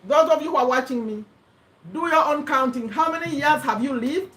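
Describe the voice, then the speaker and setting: A woman in her fifties, originally from Uganda, talks straight to her viewers with a fairly high voice. She rambles earnestly, doubling back to correct herself and repeating words as she thinks aloud. It sounds like an unscripted vlog. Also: fairly quick, a bit bright, low-quality recording. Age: 50s. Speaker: vlogger. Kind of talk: casual talk to camera. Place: USA.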